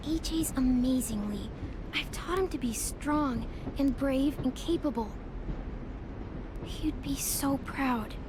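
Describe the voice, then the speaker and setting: A woman playing a vulnerable but determined young survivor girl in a video game drama, soft-spoken and earnest. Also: high-pitched, slow pace, noisy background. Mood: proud, affectionate.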